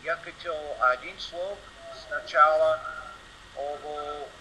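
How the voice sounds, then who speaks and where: Halting American man, very bright sharp voice. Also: fairly high pitch, steady tone. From United States. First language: English. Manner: halting, broken non-native grammar, searching for words. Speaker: guest conference presenter. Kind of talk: informal conference talk in Russian as a second language. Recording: noisy online seminar audio.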